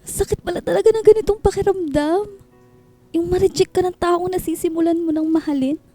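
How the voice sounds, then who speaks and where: High, very mellow heartbroken young woman. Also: a little fast, soft background music. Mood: hurt, tearful. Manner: soft, plaintive, wistful. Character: heartbroken young woman, rejected in love, self-blaming. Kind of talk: Filipino radio drama acting.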